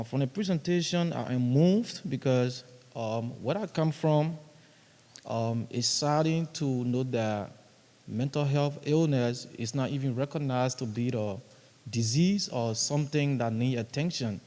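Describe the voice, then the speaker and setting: A man from Liberia, asking a question from the audience in a formal, measured way with fillers. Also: medium pitch, dark tone. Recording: long hall reverb.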